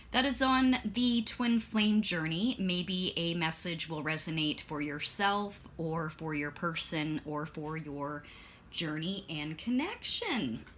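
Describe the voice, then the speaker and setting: A bright, forceful woman's voice that sits a little low and sounds strained, as if she is losing it. She talks her way through a card reading in loose, chatty bits, full of fillers and little corrections. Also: a little hiss or hum. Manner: chatty, meandering, with many fillers and self-corrections. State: losing her voice, clearing her throat. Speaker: tarot and oracle card reader. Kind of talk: casual spoken card reading.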